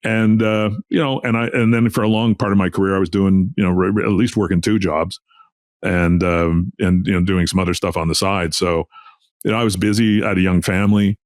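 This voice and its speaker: A man's voice: deep voice, steady tone, brisk, no room sound, clean studio sound. A middle-aged man reminiscing casually as an interview guest, in a rambling way peppered with "you know". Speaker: interview guest, veteran sports journalist.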